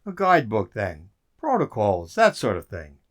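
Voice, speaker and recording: A man's voice: fairly low pitch, huge pitch swings, moderate pace. A man playing an eager, intrigued code expert in a radio drama, musing with emphasis. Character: intrigued, eager male code expert puzzling over symbols. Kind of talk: radio theater acting.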